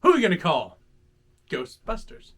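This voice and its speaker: A man hosting a children's story time, reading aloud in a playful, dramatic, animated way. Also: sits high, moderate pace. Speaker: host reading children's stories.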